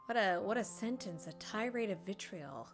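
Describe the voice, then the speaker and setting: A woman's voice: medium pitch, animated, moderate pace, soft background music. A woman streaming a game, giving casual live commentary with playful, wry asides.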